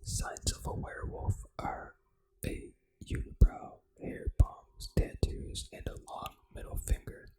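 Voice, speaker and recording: An ASMR gaming host, reading trivia in a breathy, unvoiced whisper with casual, informal asides. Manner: casual, informal, unhurried reactions to what is read.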